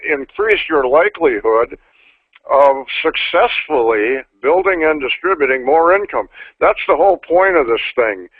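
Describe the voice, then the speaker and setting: A man's voice: on the high side, no echo. An older man reading a book chapter aloud to insurance agents, breaking off into folksy asides and restarts. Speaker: insurance trainer. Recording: tinny phone-like dry sound.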